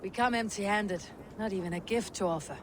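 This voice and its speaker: An actor playing a loyal, guarded Viking warrior in a video game, speaking in a measured, restrained way. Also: male voice, high-pitched, noisy background, close to an everyday voice. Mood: wry. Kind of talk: dramatic video game acting.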